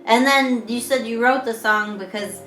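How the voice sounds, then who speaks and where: A young woman with a high, squeaky voice, co-hosting a podcast in casual chat, laughing easily and restarting her sentences. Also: moderate pace. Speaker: podcast co-host. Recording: roomy echo with music playing behind.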